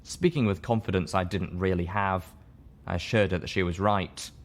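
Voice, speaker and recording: A man's voice: low voice, moderate pace. A man narrating a story in a dramatic audiobook style. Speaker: story reader.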